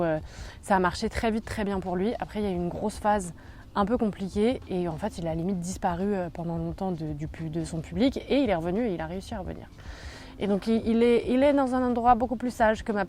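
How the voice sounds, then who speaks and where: A woman's voice: medium pitch, warm tone, a little hiss or hum. A young woman chatting casually in a book vlog, rambling with many fillers. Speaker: book YouTuber.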